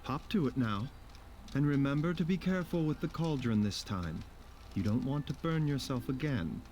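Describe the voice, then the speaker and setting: Game role: male actor as composed scholarly doctor, measured, serious, medium register. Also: medium pitch, expressive, a little mellow, lightly acted. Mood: calm, instructing. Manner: measured, formal, careful diction. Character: calm, grave, learned male doctor and herbalist. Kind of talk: video game voice acting. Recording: game music under the voice.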